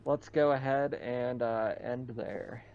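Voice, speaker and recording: Guy running a tabletop rpg, jokey n chuckly, normal pitch, kinda muffled lofi mic. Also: steady tone, slow pace, background noise. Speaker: game master of a tabletop roleplaying session. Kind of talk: casual chat during a tabletop game.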